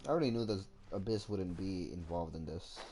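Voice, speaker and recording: A man's voice: low voice, fairly quick, dark tone, slight background noise. A man giving casual, offhand commentary as he streams a video game. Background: game music.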